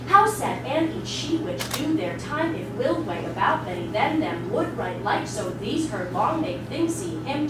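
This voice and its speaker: An actress playing an imperious, short-tempered woman drilling a language pupil in a live stage reading. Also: on the high side. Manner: bossy, exacting. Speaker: stage actor in a dramatic reading. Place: live stage before an audience. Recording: echoey hall from far off, noisy.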